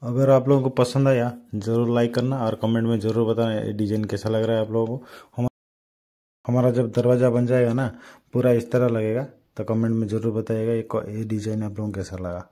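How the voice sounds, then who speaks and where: A man's voice: mid-range voice, little pitch movement, fairly quick, mellow. A young man chatting casually to his viewers while he films his village vlog outdoors on a handheld phone. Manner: chatty, addresses viewers directly. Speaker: village vlogger.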